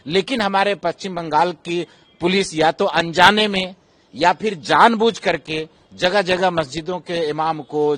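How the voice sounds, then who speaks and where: A male imam from India making an off-the-cuff statement, speaking emphatically and deliberately, often building up repeated lists. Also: on the high side, fairly bright tone, dry recording. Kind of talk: press statement, spoken off the cuff.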